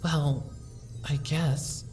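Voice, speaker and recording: A woman playing a timid sick pony, soft and stammering, slow, distant mic. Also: sits low, steady tone, faint music behind. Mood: timid, shaky. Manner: soft, hesitant, stammering, pleading. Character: timid sick young female pony, frightened. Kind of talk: fan audiobook reading.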